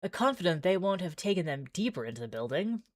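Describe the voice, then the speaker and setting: A woman's voice: on the low side, very animated, fast talker, no room sound. A woman streaming a visual novel, reading the game text aloud with theatrical flair and chatty asides.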